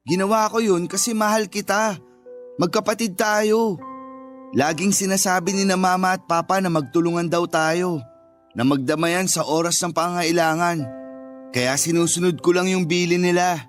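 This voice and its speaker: Gentle schoolteacher younger brother: high male voice, earnest, affectionate, light music bed. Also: steady tone, normal speed. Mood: selfless, loving. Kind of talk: sentimental radio drama dialogue.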